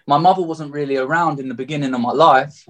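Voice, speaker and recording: A man's voice: fairly high pitch, steady tone, quick pace, a bit bright, slight background noise. A man of thirty with a southeast English accent, telling his personal story openly and reflectively as an interview guest. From Margate, England.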